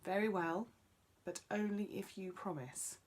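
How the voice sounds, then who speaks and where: A woman's voice: mid-range voice, unhurried, close to an everyday voice. A woman voicing a male talking magic tree in a children's story, wise and bossy yet kindly, with an instructive manner. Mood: amused, relenting. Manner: chanting rhymes, instructive.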